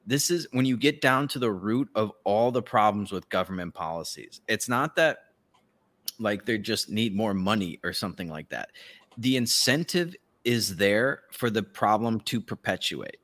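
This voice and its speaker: Guy podcast host, lowish voice, sweary ranty sardonic commentary. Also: a bit bright. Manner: profane, ranting, sardonic. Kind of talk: casual political commentary.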